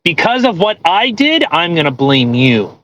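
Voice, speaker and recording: A man's voice: a little high, big pitch swings, normal speed, a bit bright, no room sound, low-quality recording. A man co-hosting a podcast in casual chat, giving sarcastic, argumentative commentary on a post. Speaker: podcast co-host.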